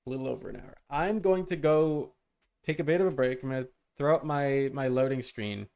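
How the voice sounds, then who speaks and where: A man chatting casually and rambling as he streams a video game, with an animated, amused manner. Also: mid-range voice, fairly quick, vocal fry, smooth. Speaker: live streamer. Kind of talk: casual gaming stream chat. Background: video game music.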